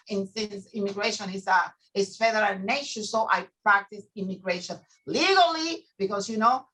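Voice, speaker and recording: Bright-toned earnest woman guest. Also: fairly high pitch, rough recording. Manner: earnest, repeats words while finding them. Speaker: guest, immigration attorney. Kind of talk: casual panel talk.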